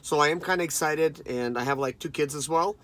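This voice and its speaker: A man's voice: on the high side, a little fast, fairly bright tone. Casual man in his thirties, unboxing chat. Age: 30s. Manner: informal, conversational, addresses viewers as guys. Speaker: product reviewer and vlogger.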